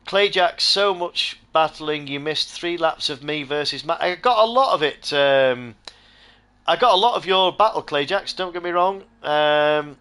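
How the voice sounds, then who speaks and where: A middle-aged man hosting and commentating a sim racing stream, hoarse and close to losing his voice, switching between excited play-by-play and rambling chat with viewers. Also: a little high, a little slow. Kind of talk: live-stream race commentary.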